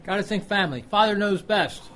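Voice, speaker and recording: A man's voice: high-pitched, brisk, a crowd in the background, background noise. A man casually hosting a quiz at a restaurant luncheon, calling out the answers. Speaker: quiz host at a club luncheon. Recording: loud music behind the voice.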